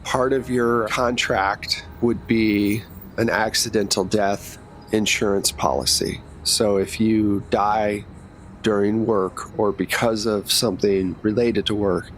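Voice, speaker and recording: A man's voice: lowish voice, a little hiss or hum. A man playing a businesslike, protective female secret agent recruiter in a tabletop game, explaining things in a matter-of-fact, reassuring way.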